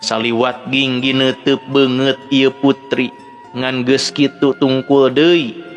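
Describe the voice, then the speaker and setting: A man's voice: medium pitch, flat delivery, takes it slowly, highs cut off. Sundanese-accented male storyteller over music. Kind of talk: dramatic scripted story narration. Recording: background music under the voice.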